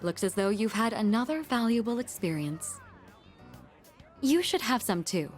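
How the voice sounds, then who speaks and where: Poised, expressive young lady. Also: on the high side, moderate pace, soft background music. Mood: patient, composed. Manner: composed, articulate, gently formal. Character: poised, refined young woman, a patient elder-sister guide. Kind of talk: video game voice acting.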